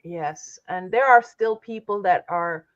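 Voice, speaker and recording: A woman interviewer asking measured, encouraging questions over a video call. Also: lowish voice, no echo. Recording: dry, slightly dull audio.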